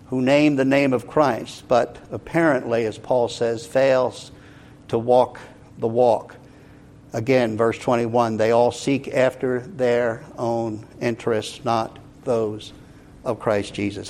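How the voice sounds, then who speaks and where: A man preaching an expository sermon as a pastor, earnest and emphatic, pausing for effect as he explains scripture. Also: medium pitch, fairly even intonation, a little mellow. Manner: earnest, emphatic, deliberate with dramatic pauses.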